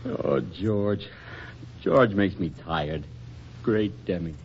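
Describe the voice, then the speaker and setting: Defensive 1940s husband, dull noisy recording. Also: male voice, sits low, extremely slow, heavily acted. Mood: defensive, irritated. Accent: American. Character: oblivious, defensive young husband, airline man. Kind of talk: 1940s radio comedy acting.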